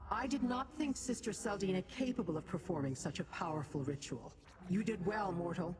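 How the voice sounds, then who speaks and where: Woman voicing haughty female Daedra, game character, measured condescension, formal diction, long echoing reverb, noisy ambience. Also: lowish voice, expressive, natural voice. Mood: composed, commanding. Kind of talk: video game character acting. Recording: long reverb, clear background ambient noise.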